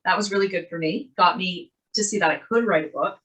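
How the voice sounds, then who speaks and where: A woman's voice: lowish voice, brisk, a bit bright, low-quality recording. An older woman from Canada, a guest author, chatting in an interview and telling her life story in a rambling way with asides, over a video call. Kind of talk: casual interview chat.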